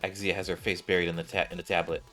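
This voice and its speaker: A man's voice: deep voice, flat delivery, racing, fairly bright tone, faint music behind. A man streaming a video game and reading its story aloud, stumbling now and then and correcting himself. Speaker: streamer narrating game cutscenes.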